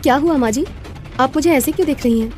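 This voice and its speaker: A woman's voice: high-pitched, quick pace, darkish tone, faint music behind, pitched above the performer's own voice, close to an everyday voice. A woman voicing a young shape-shifting serpent wife who speaks respectfully and pleadingly in a moral story. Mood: uneasy.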